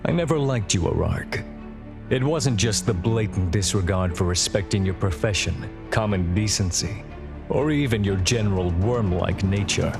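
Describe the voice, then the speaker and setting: Cold stern navy admiral from a video game, deep creaky voice, very formal. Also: male voice. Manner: formal, measured, cutting. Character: stern, dignified male navy admiral, coldly disapproving. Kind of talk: video game cutscene acting. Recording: music under the voice.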